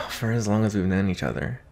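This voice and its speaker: A young man playing an anxious, protective vampire boyfriend in an intimate roleplay audio drama, speaking in an earnest, halting way with sighs. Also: lowish voice, animated, a little mellow, soft background music. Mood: somber, confiding. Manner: halting, sighing, earnest delivery.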